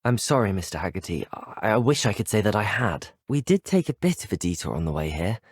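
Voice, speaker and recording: A man's voice: fairly low pitch, husky, no room sound, clean studio sound. A male actor with a British accent playing a polite, curious young student wizard in a video game, articulate and earnest. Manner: polite, articulate, formal phrasing.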